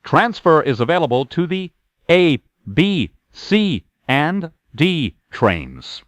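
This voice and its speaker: A man reading scripted transfer announcements in a formal, measured way as a transit announcer. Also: a little high, very animated, no echo.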